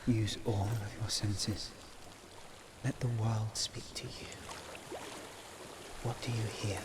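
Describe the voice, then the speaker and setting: A man playing a calm warrior companion in a video game, softly and soothingly guiding someone with reassuring instructions. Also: on the low side, slow, very noisy. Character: calm male warrior companion guiding a frightened young woman. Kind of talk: cinematic video game acting.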